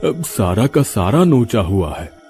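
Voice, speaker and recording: A man's voice: on the low side, very animated, a little slow, over music. A man voicing a timid young male villager who stammers when frightened, in a cartoon. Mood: scared.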